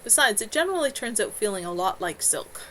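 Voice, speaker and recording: A woman reading a novel aloud, voicing a calm, careful teenage mage who explains things in a measured way. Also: medium pitch, a little hiss or hum. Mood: explaining.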